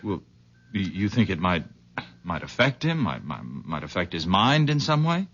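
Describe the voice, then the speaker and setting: Earnest, stammering young man for vintage radio play; low, animated voice, muffled recording. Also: moderate pace, breathy edge, exaggerated voice. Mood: hesitant, earnest. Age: young adult. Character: awestruck, grateful young visitor. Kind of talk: old-time radio drama acting. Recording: dull lo-fi old radio recording.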